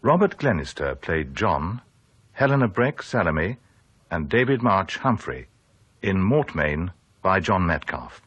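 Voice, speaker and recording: A man announcing formally on radio, reading out credits in a measured style. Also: low-pitched, big pitch swings, fairly bright tone, breathy edge, dry recording. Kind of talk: formal cast-list announcement. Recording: slightly dull, dry radio broadcast recording.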